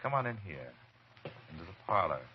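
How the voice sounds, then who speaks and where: An actor playing an obsessed, murderous doctor in a theatrical old-time radio horror drama. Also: male voice, low voice, sing-song, fairly quick. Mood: quietly menacing. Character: obsessed doctor turned coldly murderous, later terrified. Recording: dull, noisy vintage radio recording.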